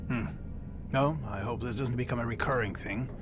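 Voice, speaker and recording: An actor playing a stern, condescending male hotel boss with a halting manner in a video game. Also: mid-range voice, over music, lightly acted. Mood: disapproving, suspicious. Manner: halting, throat-clearing, condescending.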